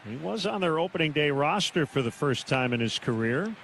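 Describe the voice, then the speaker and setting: A man's voice: a little high, animated, a little fast, a bit bright, low-quality recording. A man doing live baseball play-by-play as a radio announcer from a stadium broadcast booth. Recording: clear noise behind the voice. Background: outdoor ambient stadium noise.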